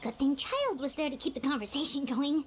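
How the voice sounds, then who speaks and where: A woman voicing a small, excitable, childlike fairy companion in an anime game, chatty and blunt. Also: a little fast, a little hiss or hum. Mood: wondering. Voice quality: squeaky, childlike. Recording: music behind the voice.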